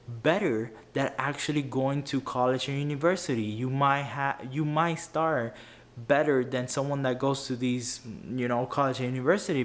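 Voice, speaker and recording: A young man whose first language is Spanish, giving earnest money advice to camera, often tripping over words and restarting. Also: mid-range voice. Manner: earnest, stumbling with many restarts. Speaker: YouTuber. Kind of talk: unscripted finance advice to camera.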